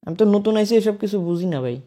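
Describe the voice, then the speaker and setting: A man's voice: sits high, expressive, very fast, warm tone. A Bangladeshi man speaking hesitantly and unassumingly in an informal phone conversation.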